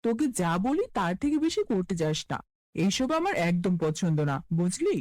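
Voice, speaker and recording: A woman plays a cruel middle-aged mother from a cartoon folk tale. Her voice is dark and swings animatedly as she scolds with open contempt. The sound is dry and close. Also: medium pitch, very animated, moderate pace, no echo, pitched below the performer's own voice. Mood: contemptuous. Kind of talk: cartoon fairy-tale character voice.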